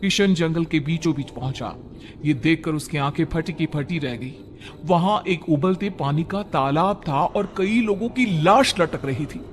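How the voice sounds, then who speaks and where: A man's voice: on the high side, a little slow, a little hiss or hum. Dramatic male storyteller. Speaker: narrator. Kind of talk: scripted story narration.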